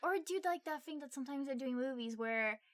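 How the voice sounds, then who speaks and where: A woman's voice: sits high, fast, dry recording, studio quality. A woman co-hosting a film review podcast in casual chat, giggly and gushing in manner.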